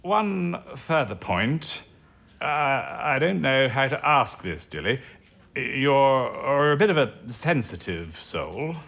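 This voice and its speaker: Patronising wartime official, animated intonation. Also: male voice, medium pitch, takes it slowly, gravelly, heavily acted. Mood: grave, awkward. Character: condescending, penny-pinching male wartime intelligence administrator. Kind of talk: period audio drama acting.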